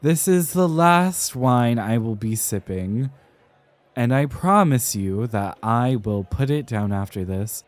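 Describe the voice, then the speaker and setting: A man performs as a dramatic young male scholar in an intimate ASMR roleplay, tipsy and easily flustered. He speaks slowly and with careful, theatrical enunciation, at a middling pitch. The sound is dry and close to the microphone. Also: animated, no echo. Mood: grudging, resigned. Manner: theatrical, enunciated, halting with sighs and flourishes. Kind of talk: ASMR roleplay, acted one-sided conversation.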